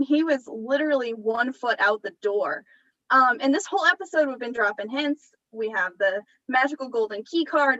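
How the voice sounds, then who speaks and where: A woman's voice: on the high side, distant mic, dull audio. A young woman co-hosting a casual podcast, chatting and laughing as she recaps a TV episode. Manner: chatty, laughs often, animated asides. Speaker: podcast co-host.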